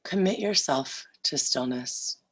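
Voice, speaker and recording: A woman leading a guided yoga nidra meditation in a soothing, deliberate way, leaving long pauses between phrases. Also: rumbling low, lively intonation, fairly bright tone.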